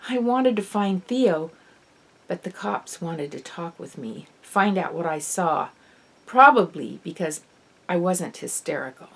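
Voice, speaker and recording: A woman's voice: lowish voice, lively intonation, a little slow, creaky. Woman narrating a young readers' audiobook. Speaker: author reading own novel. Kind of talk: expressive scripted audiobook narration.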